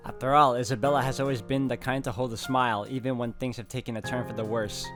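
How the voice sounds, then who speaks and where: A man playing through a visual novel and reading its narration aloud in an expressive storytelling manner. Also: medium pitch, quick pace. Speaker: video game walkthrough player. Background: game music.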